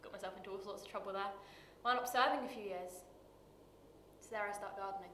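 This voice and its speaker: A woman acting a dramatic screen monologue as a bitter, wounded working-class woman, confessional and halting, with weighted pauses. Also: medium pitch, fairly bright tone, lightly acted. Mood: reflective, weary. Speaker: actor performing a monologue. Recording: roomy echo with light background noise.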